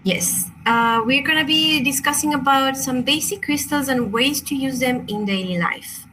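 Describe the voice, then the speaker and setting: Woman, Chilean accent, native Spanish speaker, hesitant teacher, bright voice, faint background hiss. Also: sits high, normal speed, rough recording. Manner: hesitant, apologetic, frequent fillers and restarts. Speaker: crystal workshop teacher. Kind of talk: online workshop presentation.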